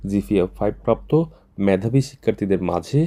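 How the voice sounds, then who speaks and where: Low, mellow Bangladeshi male news reporter. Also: brisk. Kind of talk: scripted news narration.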